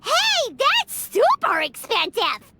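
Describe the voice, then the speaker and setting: Extremely squeaky, excitable tiny fairy. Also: female voice, slow pace, gravelly, slight background noise, heavily acted. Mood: amazed. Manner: squeaky, exclaiming. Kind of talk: video game voice acting.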